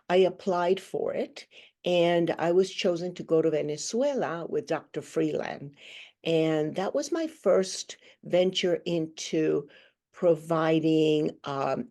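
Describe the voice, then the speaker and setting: A woman in her sixties from Guatemala, giving a thoughtful, earnest interview answer over a video call and pausing often to search for words. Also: low voice, a little mellow. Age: 60s. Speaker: guest, hand therapist.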